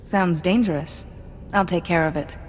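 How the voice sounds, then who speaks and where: An actress playing a cool, ruthless warrior mystic in a video game, curt, assured and coldly sardonic. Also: female voice, fairly low pitch, moderate pace, big-room sound, soft background music, close to an everyday voice. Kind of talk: video game dialogue acting.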